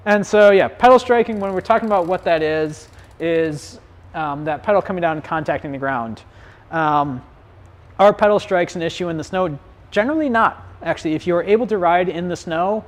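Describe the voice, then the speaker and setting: A man with a slightly raised pitch leads a live-streamed bike shop clinic. He explains things in a loose, conversational way, and his voice is getting hoarse.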